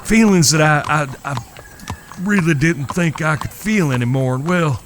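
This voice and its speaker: A man playing an earnest, courteous cowboy suitor in a western audio drama, with halting, old-fashioned, courtly phrasing. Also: fairly high pitch, a little hiss or hum, lightly acted. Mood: nervous, earnest.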